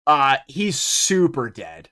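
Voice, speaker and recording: A man narrating a tabletop game as game master, animated and laughing often. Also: mid-range voice, slow.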